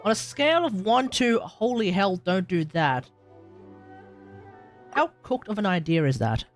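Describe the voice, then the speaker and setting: A young adult Australian game streamer, rambling casually while playing, musing aloud and chatting to viewers with sudden loud outbursts. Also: medium pitch, big pitch swings, a little slow, soft background music.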